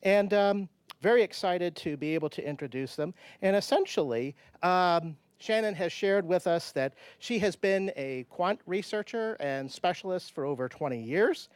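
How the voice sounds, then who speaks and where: A man hosting a conference session and introducing speakers in a halting, measured way. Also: high-pitched, expressive, clear, resonant tone, no room sound.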